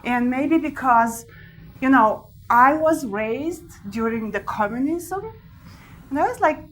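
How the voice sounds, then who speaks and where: A woman in her forties with a Romanian accent, giving a live talk to an audience with animated storytelling and frequent laughter. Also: high-pitched, slow pace, a little hiss or hum, poor audio. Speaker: speaker. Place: large event hall with an audience.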